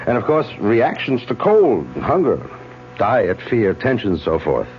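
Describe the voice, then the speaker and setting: A calm, measured surgeon. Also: male voice, on the low side, a little hiss or hum. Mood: explaining. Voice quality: measured, explaining tone. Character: Philip, a calm male surgeon-scientist. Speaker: actor in a radio drama. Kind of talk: 1970s radio drama acting. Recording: dull lo-fi old radio broadcast.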